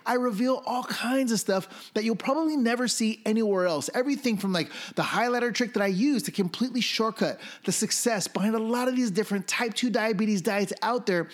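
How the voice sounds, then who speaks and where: High-voiced, upbeat male podcast host. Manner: upbeat, filler-heavy, salesy. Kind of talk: casual interview and promo.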